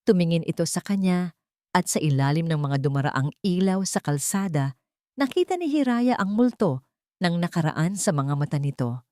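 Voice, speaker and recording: A woman narrating an audio drama in a measured, dramatic storytelling manner. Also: lowish voice, expressive, mellow, dry recording, studio quality.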